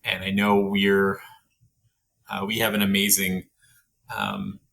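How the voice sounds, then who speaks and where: A man's voice: on the low side, monotone, slow, creaky, a bit bright, slight background noise. A man from Canada hosting a podcast interview, talking in a goofy, rambling way and laughing often. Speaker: podcast host. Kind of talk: casual interview.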